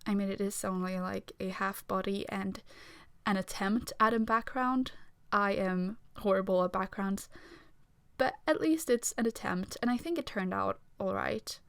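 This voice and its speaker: A young woman from Sweden with a Swedish accent, talking quietly and self-consciously over her speed drawing, with small nervous laughs. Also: medium pitch, measured pace, no echo. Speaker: art vlogger. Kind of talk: casual voice-over talk during a speed drawing. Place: home room.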